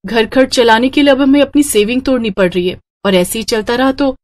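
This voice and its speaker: A woman's voice: fairly high pitch, fairly quick, darkish tone, no room sound, close to an everyday voice. A woman voicing a worried, plaintive married sister in a moral story. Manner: anxious, plaintive. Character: worried, pleading married sister short of money. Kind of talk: Hindi moral story voice acting.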